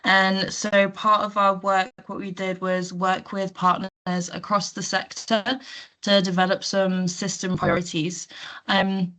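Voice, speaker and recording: A woman presenting slides in an online meeting, her voice croaky from illness, informal with hesitant fillers. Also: medium pitch, flat delivery, unhurried, bright, forceful tone. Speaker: guest presenter.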